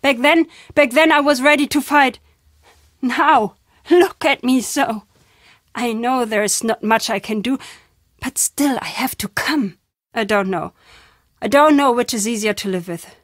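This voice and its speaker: An actress playing a very old, playful, wistful woman storyteller in a video game, speaking theatrically in unhurried phrases with dramatic pauses. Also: on the high side, a bit bright, no room sound, clean studio sound. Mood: moved, regretful. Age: older. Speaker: game character.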